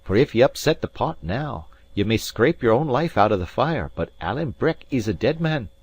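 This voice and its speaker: A man voicing a fierce, roguish Scottish Highlander in an audiobook, blustering and emphatic. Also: big pitch swings, moderate pace, husky. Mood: warning. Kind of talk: dramatised audiobook reading of a classic adventure novel.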